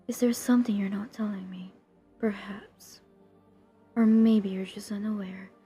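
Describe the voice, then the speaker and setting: A woman playing a centuries-old vampire who hunts her own kind in an audio roleplay, cold, menacing and drily teasing, in a deliberate half-whisper. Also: mid-range voice, warm tone. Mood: suspicious, curious. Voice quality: breathy, husky, half-whispered. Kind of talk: intimate scripted audio roleplay.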